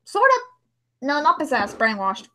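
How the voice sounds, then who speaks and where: A woman with a high, very lively voice hosts a casual livestream. Her pitch swings freely as she chats in an informal, exclaiming manner. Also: moderate pace. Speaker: livestream host.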